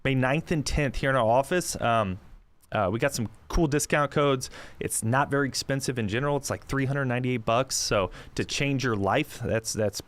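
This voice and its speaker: A man's voice: medium pitch, normal speed, fairly bright tone. A man hosting a podcast interview, upbeat and joking, with plenty of fillers and restarts. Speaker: podcast host. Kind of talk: casual interview chat.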